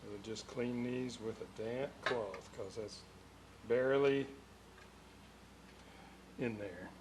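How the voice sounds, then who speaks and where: A man's voice: medium pitch, steady tone. Man muttering through workshop repairs, noisy recording. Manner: unhurried muttering in short phrases while working. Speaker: radio restorer hosting a repair video. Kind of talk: casual hands-on workshop narration.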